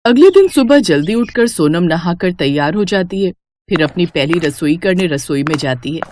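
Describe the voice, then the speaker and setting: A woman's voice: lowish voice, darkish tone, a little hiss or hum. A woman narrating a scripted moral story as the storyteller.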